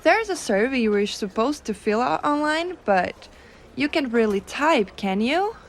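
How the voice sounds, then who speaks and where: Woman doing a sweet giantess secretary lady for asmr roleplay, highish voice that moves around a lot, gentle, doting, teasing, bit bossy. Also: big pitch swings, measured pace, a little hiss or hum, exaggerated voice. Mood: helpful, lightly teasing. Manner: soft, reassuring, teasing, coaxing. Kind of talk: intimate ASMR roleplay to the listener.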